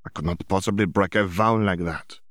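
Man voicing calm, gently firm young woman. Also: low-pitched, no echo, studio quality. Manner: measured, formal, reassuring. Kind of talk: audiobook character voice.

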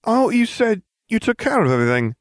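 Nervous, slow-witted teen sidekick voiced by a man, bewildered, jumps up in pitch, dry sound. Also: very animated, no room sound. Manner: anxious, bewildered.

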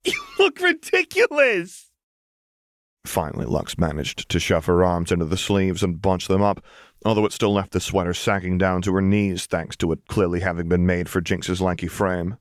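A man's voice: deep voice, little pitch movement, normal speed, dry recording, studio quality. A man reading scripted fiction as an audiobook narrator.

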